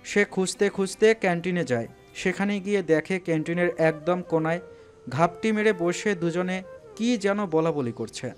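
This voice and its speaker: A man with a slightly high voice narrates a scripted story. He delivers it as dramatic storytelling, with faint music behind him. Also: moderate pace.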